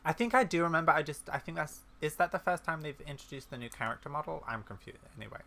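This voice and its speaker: A gaming video host giving casual, excitable, self-deprecating commentary while playing. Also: medium pitch, fast talker, vocal fry, a little hiss or hum. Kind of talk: casual gameplay commentary, reading game dialogue aloud. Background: music behind the voice.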